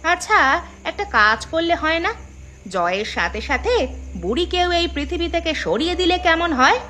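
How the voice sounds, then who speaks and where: A woman's voice: very high voice, expressive, moderate pace, pushed and bright, slight background noise, heavily acted. A woman voicing a scornful, scheming village mother in a cartoon, with a calculating manner of speaking. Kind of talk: cartoon voice acting.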